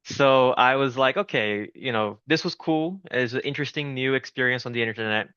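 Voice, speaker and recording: A Brazilian-born man in his thirties, a founder chatting as an interview guest, friendly and prone to restarting his sentences. His voice is bright and crisp, recorded dry and close with a slightly dull, lo-fi sound. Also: medium pitch, moderate pace, dry recording. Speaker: game studio founder, guest. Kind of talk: casual interview talk.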